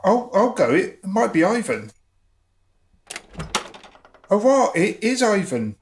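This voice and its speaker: A man's voice: high voice, big pitch swings, slow, background noise, heavily acted. A man acting in a radio drama as a hapless, loyal sidekick with a hesitant, bumbling manner. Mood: eager.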